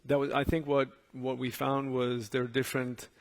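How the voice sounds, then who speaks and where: A man's voice: medium pitch, level tone, normal speed. A man with a Swedish accent, a native Swedish speaker, talking off the cuff as a panel juror, repeating words as he thinks. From Sweden. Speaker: jury member on a panel. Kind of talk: unscripted panel talk.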